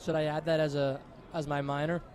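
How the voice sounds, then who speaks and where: A man's voice: a little high, flat delivery, a little fast, a little mellow, a faint crowd. A young American man giving chatty, analytical commentary on a live radio baseball broadcast. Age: young adult.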